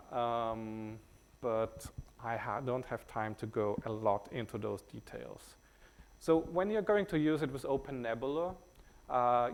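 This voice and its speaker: A man from Austria with a German accent, presenting a technical talk at a conference in a halting way, with many hesitations and restarts. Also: mid-range voice.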